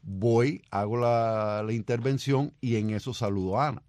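A man, an immigration lawyer, speaking earnestly and warmly as a radio guest. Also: mid-range voice, steady tone, moderate pace, no echo, studio quality. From Cuba. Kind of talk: radio interview.

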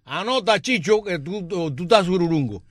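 Jokey man, higher-pitched, dry sound. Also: expressive, moderate pace, no echo. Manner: jokey, teasing, plays with words. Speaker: show host reading listener messages. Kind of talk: playful casual radio chat.

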